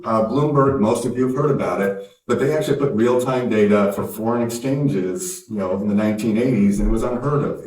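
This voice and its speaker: Low-voiced man with an American accent. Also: darkish tone. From Canada. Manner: emphatic, punchy, with wry asides. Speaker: conference speaker, company founder. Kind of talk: conference keynote speech. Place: conference hall. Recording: roomy hall from a distance.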